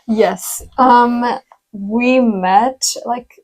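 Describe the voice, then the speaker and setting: Bright-voiced young woman. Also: fairly high pitch, drawn-out words, low-quality recording. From Costa Rica. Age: 20s. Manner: chatty, giggles, many ums. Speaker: guest, music education student. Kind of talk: casual interview chat.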